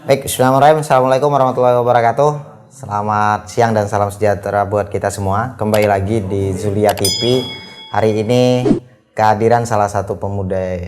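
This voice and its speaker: A man's voice: on the low side, fairly even intonation, fast talker, over music. A young man from Aceh hosting a casual podcast interview, friendly and rambling with many fillers. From Aceh, Indonesia. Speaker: podcast host.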